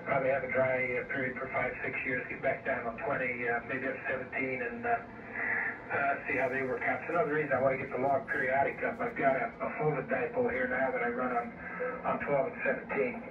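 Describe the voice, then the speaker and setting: Through heavy noise and a thin, echoing radio channel comes a man of sixty. He talks casually in a rambling, halting way, as an amateur operator chatting over the air. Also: mid-range voice, flat delivery. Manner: rambling, hesitant. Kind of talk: casual ham radio conversation. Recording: tinny narrowband radio audio, loud static, distant, echoey.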